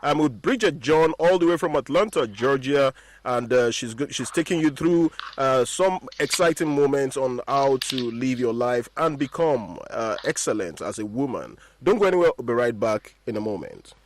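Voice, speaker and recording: A man's voice: medium pitch, lively intonation, normal speed, slight background noise. A man, hosting a radio interview. He says "mm-hmm" often and fills his talk with "uh". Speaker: radio host.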